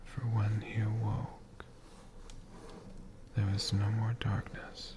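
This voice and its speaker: A storyteller whispering a tale for ASMR in a hushed, breathy voice with slow, dramatic pauses. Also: medium pitch, monotone. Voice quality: breathy whisper with creaky edges.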